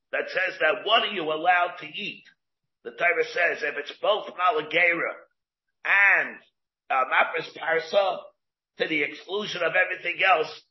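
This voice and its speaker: Rabbi giving a Talmud class, slightly high voice, muffled lo-fi recording. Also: male voice. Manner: halting, restarts and asks questions aloud, answers students. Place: classroom with students.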